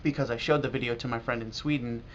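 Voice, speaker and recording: An American man talking casually and reflectively in a video blog as a language learner, halting now and then with pauses. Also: medium pitch, fairly even intonation, fairly bright tone. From United States. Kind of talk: casual talk to camera about learning. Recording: dull lo-fi audio with clear noise.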